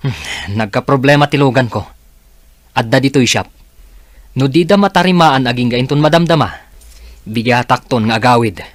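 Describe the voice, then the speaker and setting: A man's voice: mid-range voice, animated, normal speed, pauses often, no room sound, natural voice. A man playing an evasive, reluctant new husband in a radio drama. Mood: guarded, evasive. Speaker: audio drama actor.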